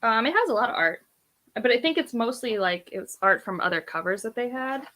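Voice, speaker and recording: A woman's voice: a little high, expressive, brisk, bright, forceful tone. A woman in her thirties chatting casually and emphatically about books, rambling and opinionated. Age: 30s. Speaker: book vlogger on a read-along panel. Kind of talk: casual book chat.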